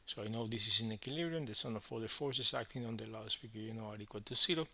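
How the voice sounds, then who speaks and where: A man teaching physics, explaining a worked problem step by step in halting phrases with frequent verbal checks. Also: fairly low pitch, creaky, sharp tone, a little hiss or hum.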